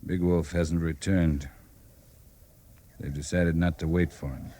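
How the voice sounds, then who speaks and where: A frontier cavalry captain in a classic radio western. His American voice is fairly low and stays measured under threat. He speaks tersely, with dry authority. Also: fairly even intonation, dark tone. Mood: grave. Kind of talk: old-time radio drama acting.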